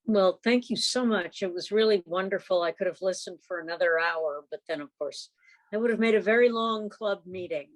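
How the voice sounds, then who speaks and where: A woman's voice: medium pitch, fairly quick, rough recording. A woman chatting effusively and casually as the host of a club meeting on a video call. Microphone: video call microphone.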